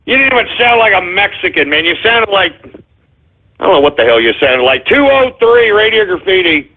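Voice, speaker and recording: A man hosting a call-in radio show, brash and excitable, hurling insults at callers and often yelling. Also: high voice, moderate pace. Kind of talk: loud ranting call-in banter. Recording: tinny lo-fi stream audio.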